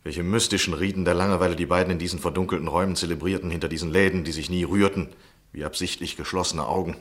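A man playing an obsessed, frustrated, self-justifying gentleman journalist in a 1960s radio play. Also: sits low, fairly even intonation, quick pace, lightly acted. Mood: confessional, wry.

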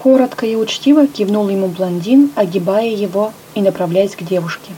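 Female storyteller, first-person narration, mellow voice, expressive delivery, light background hiss. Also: medium pitch, fairly quick, rough recording. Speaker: audiobook narrator.

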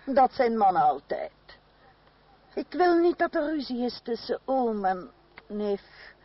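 A woman's voice: fairly high pitch, very animated, slow, full character voice. An actress playing an imperious, motherly French noblewoman in a radio play, stately and reassuring. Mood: stately reassurance. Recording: muffled, distant old radio recording.

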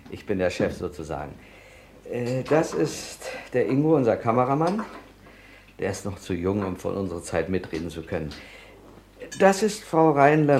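An actor playing a bossy, enthusiastic, middle-aged TV director who explains and commands in a naturalistic radio play. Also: male voice, lowish voice, noisy background. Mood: explaining. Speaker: TV film director character. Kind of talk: naturalistic radio drama acting.

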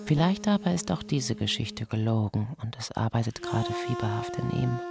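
A man with a gravelly voice reading scripted crime drama narration as the narrator. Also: medium pitch, very animated, normal speed. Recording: loud music under the voice. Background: music.

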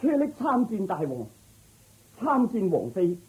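A woman's voice: medium pitch, very animated, measured pace, husky, narrow, tinny audio, full character voice. A woman playing a loyal, humble male groom in a radio drama, deferential and pleading toward royal masters. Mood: respectful, reporting.

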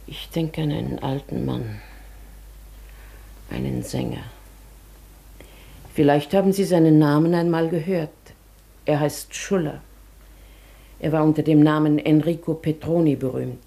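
A woman playing a dignified, wistful hotel guest in a German radio drama, with a soft, often breathy voice and a formal, measured manner. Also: low voice, fairly even intonation. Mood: firm, composed. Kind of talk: German radio play acting.

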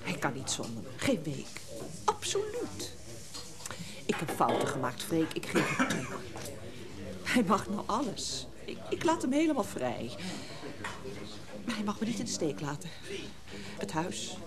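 A woman's voice: on the low side, wildly swooping pitch, unhurried, long pauses. A woman playing a domineering, jealous wife who pleads insistently and with self-pity in a Dutch radio play. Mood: insistent, pleading. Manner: insistent, self-pitying, faltering. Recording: loud crowd chatter of a café scene.

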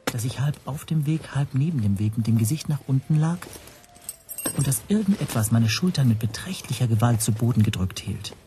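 A man's voice: mid-range voice, animated, brisk, warm tone, slight background noise, lightly acted. An actor playing an anxious, introspective language teacher who narrates his own story in a German radio drama. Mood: shaken, subdued. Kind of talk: scripted first-person radio drama narration.

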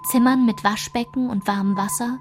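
Actress as woman looking back on her girlhood, reflective measured radio narration, music underneath. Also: a little high, fairly even intonation, close to an everyday voice. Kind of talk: scripted literary radio narration.

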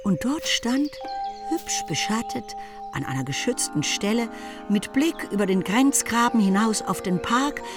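A woman's voice: a little high, expressive, a little slow, music in the background. A woman performing as the narrator of a period novel in a radio play, in scripted literary narration. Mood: composed.

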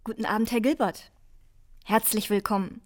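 A woman's voice: medium pitch, steady tone, normal speed, heavy background noise, close to an everyday voice. An actress playing a formal, welcoming female hotel receptionist in a German radio drama. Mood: courteous, welcoming.

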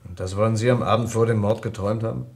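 Earnest low-voiced lawyer. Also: male voice, fairly even intonation, very fast, creaky, husky. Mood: pressing. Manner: precise, formal. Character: earnest, inquisitive male lawyer telling a crime story. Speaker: narrator and lead character. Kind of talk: German radio crime play acting from the mid-20th century.

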